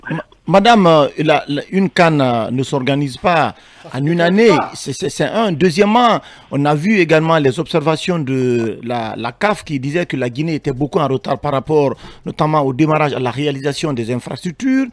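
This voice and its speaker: A man's voice: fairly high pitch, expressive, moderate pace, dry recording. A man co-hosting a radio show in a studio, cutting in and stammering while he makes his points. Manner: interrupting, stammering. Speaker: radio co-host. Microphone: studio mic with headphones.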